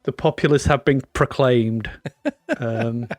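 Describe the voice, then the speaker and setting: A man's voice: mid-range voice, fairly even intonation, takes it slowly, no echo, clean studio sound. A man with a cold and a blocked nose, co-hosting a podcast in a chatty, meandering way. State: congested with a cold. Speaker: podcast co-host. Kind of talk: casual chat.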